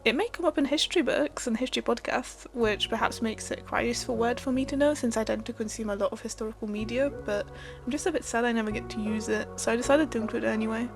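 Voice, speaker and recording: A woman's voice: fairly high pitch, quick pace. A young woman whose first language is Polish, narrating a scripted voice-over in a thoughtful, wry and lightly self-deprecating way. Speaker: art and language vlogger. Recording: voice-over with clear background music.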